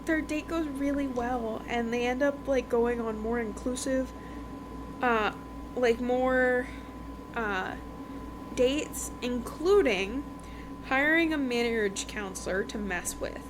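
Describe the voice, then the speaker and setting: A woman with a somewhat high voice hosts a casual chat show. She tells things in a loose, rambling, conversational way. Noise is clearly audible behind her. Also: slow. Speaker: podcast host reviewing romance books. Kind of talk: casual book recap chat. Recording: noticeable background noise.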